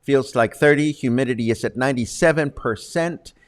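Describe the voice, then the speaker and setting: A man's voice: medium pitch, a little fast, no room sound, studio quality. A man presenting as a show host in a chatty, conversational way with wry asides. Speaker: host presenting news and interviews.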